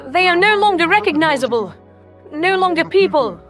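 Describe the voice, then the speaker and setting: A woman playing an ancient, principled alien predator soldier who speaks in a smooth, formal, reproachful way in an audio story. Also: very high voice, expressive, moderate pace, a bit bright. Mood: appalled. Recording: music under the voice. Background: music.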